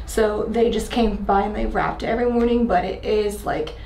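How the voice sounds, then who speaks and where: A woman's voice: mid-range voice, fairly even intonation, moderate pace, creaky, warm tone. A woman chatting casually and informally as a patient during a treatment session. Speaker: chiropractic patient. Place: indoor treatment room. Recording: echoey room, faint background noise.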